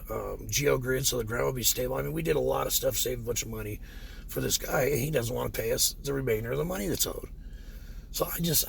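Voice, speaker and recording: Hoarse, gravelly man venting. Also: medium pitch, a little hiss or hum. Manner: frustrated, rambling, many fillers. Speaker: pond contractor. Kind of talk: casual vlog rant.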